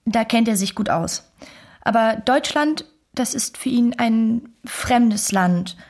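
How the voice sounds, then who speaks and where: A woman playing a thoughtful, measured daughter in a documentary radio play monologue. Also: a little high, darkish tone. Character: measured daughter weighing marriage to a foreigner.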